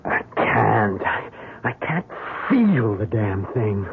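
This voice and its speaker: Tormented pianist, low intense voice, radio drama, tinny narrow vintage recording. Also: male voice, big pitch swings, slow pace, creaky, slightly rough, heavily acted. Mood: bitter despair. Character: anguished, bitter German pianist, brooding and proud. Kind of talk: old-time radio drama acting.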